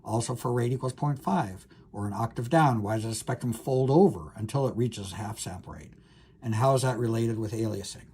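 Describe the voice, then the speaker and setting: A man giving an informal, unscripted university lecture, explaining in a halting way as he thinks aloud. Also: medium pitch, mellow, breathy edge.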